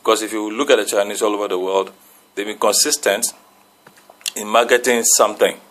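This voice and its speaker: A man, a reverend, putting a long question to a radio panel, pausing often as he searches for his words. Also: fairly low pitch, steady tone, fairly bright tone.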